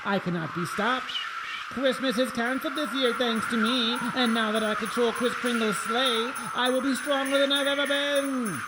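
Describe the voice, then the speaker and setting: An actor playing a megalomaniac mad scientist villain in a radio play, theatrical, condescending and given to melodramatic rants. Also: male voice, extremely high pitch, normal speed, over loud music, rough recording. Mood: gloating, triumphant.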